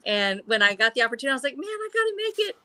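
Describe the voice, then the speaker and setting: Chatty woman in her 60s, bright crisp voice a bit high, laughs a lot, dry close mic on a video call at home. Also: sing-song, very fast, no room sound. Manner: bubbly and chatty, laughs often. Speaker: guest. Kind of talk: casual chat on a live stream.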